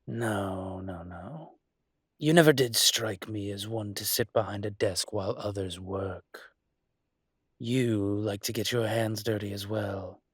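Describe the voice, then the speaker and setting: A man playing a suave, teasing dragon mafia boss in a scripted romantic audio roleplay, speaking deliberately. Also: fairly low pitch, slightly rough, no room sound, clean studio sound.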